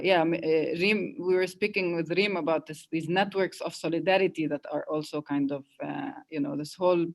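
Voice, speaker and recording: A woman speaking in a casual unscripted discussion, halting and often breaking off to rephrase herself. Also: on the low side. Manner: halting, self-interrupting, conversational.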